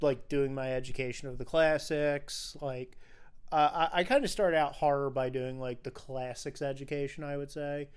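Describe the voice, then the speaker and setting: A man's voice: mid-range voice, fairly even intonation, normal speed, smooth. A young man hosting a casual film podcast, talking in an enthusiastic, rambling way. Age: young adult. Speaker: podcast host.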